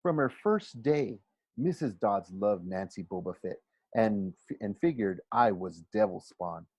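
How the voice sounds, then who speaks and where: A man with a low voice narrates a story as a teacher would, with expression. He sometimes trips over a phrase and corrects it, and the recording is close and dry. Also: expressive, a little slow, a little mellow, breathy edge, dry recording. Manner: stumbles and corrects misreadings. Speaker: teacher reading aloud.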